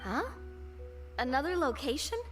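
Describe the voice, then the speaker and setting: A woman playing a lively, kind-hearted young adventurer in an anime video game. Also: sits high, normal speed. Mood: excited. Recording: game music playing behind.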